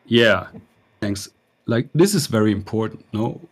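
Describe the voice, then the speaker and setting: A man with a somewhat low voice, speaking softly as a guest on a casual chat show. His phrasing has a slightly non-native feel. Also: lively intonation, measured pace.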